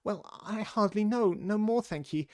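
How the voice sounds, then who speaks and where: Small storybook lizard, played by a man: squeaky, weak, flustered, higher than a normal male voice. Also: normal speed, darkish tone. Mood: flustered, feeble. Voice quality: squeaky, feeble.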